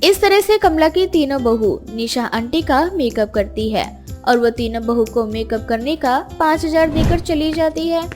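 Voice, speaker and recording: A woman tells a moral story as a scripted narrator. Her pitch is high, and music plays beneath the voice. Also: moderate pace, a little mellow.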